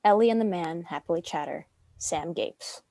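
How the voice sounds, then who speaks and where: A woman's voice: fairly low pitch, moderate pace, gravelly, warm tone, no room sound. A woman reading stage directions as scripted narration for a dramatic script reading.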